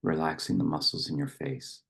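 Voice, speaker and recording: A man reading poetry and leading a guided meditation in a gentle, unhurried manner with long pauses. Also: extremely low pitch, creaky, slightly rough.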